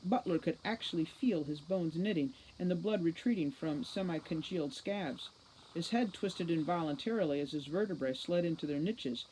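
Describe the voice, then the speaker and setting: A woman giving an expressive reading of a fantasy novel aloud, like a teacher reading to a class. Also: lowish voice, a little hiss or hum, rough recording.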